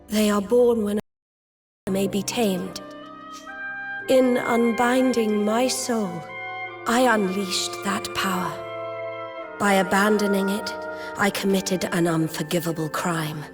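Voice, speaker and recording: Fantasy game queen, female actor: ethereal, tender and solemn, unhurried formal speech over prominent music. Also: mid-range voice, close to an everyday voice. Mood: solemn confession. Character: otherworldly, loving, remorseful queen bidding farewell. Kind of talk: theatrical fantasy game acting.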